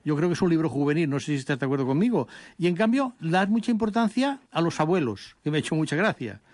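Radio host guy, slightly high voice, dry studio sound, keeps restarting his sentences. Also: big pitch swings, fast, darkish tone, no room sound. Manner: chatty, stumbles and repeats words. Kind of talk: radio interview.

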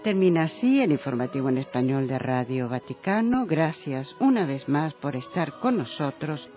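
A man reading the radio news in a measured, formal way. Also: a little high, lively intonation, lo-fi sound, soft background music. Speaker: newsreader.

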